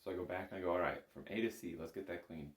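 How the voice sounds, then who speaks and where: A man with a low voice teaches music informally in a roomy, echoing space. He explains each step conversationally, in an encouraging and modest manner. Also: rapid-fire, very noisy. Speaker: bagpipe instructor. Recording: roomy, echoing indoor sound.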